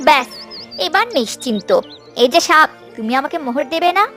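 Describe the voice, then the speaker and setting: A cruel, scheming stepmother in a fairy-tale cartoon. Her voice is high, and she orders and scolds with greedy authority. Faint music plays underneath. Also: expressive, a little fast, fairly bright tone. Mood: gloating, cold. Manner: commanding, scolding.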